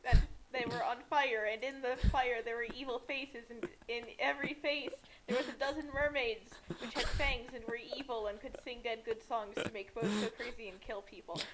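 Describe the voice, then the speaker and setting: A woman co-commentating in casual comic banter, incredulous and questioning, with halting restarts. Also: fairly high pitch, fairly even intonation, background noise. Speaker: co-commentator reacting to the reading.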